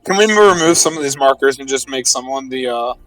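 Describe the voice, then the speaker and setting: A man's voice: mid-range voice, animated, fairly quick, a bit bright, dry recording, recorded from a distance, soft background music, poor audio. A man playing a tabletop game, chatting casually about what his character does.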